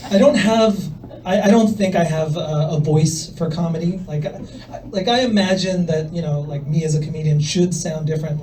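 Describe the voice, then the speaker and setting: A young man from El Salvador does stand-up in a club, his soft, fairly high voice unhurried and full of ums. He delivers his lines in a hesitant deadpan, and he sounds a little distant from the mic. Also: a little hiss or hum. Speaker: stand-up comedian. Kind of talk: stand-up set. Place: comedy club. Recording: voice from far off the mic.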